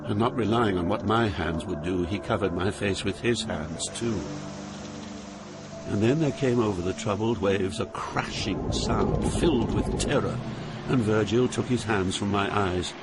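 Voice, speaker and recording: An older actor with a British accent playing a grave poet, giving solemn, measured narration in an audio drama. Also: male voice, on the low side, very noisy, close to an everyday voice. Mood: hushed awe. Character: grave older poet recounting his journey through hell.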